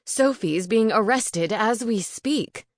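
Bossy owl familiar for a fantasy storybook, played by a woman: lowish male register, insistent, commanding, dry sound. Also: unhurried, no echo. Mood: urgent.

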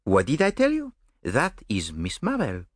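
A man's voice: mid-range voice, wildly swooping pitch, a little slow, dry recording, clean studio sound, voice pushed up. A man voicing a vain, fussy middle-aged Belgian detective with a Belgian French accent and a smug, theatrical manner. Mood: vain lecturing.

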